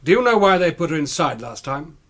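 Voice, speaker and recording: A man's voice: on the high side, very animated, a little fast, a bit bright. An actor playing a shrewd, sardonic male sleuth in a radio drama, asking clipped, probing questions.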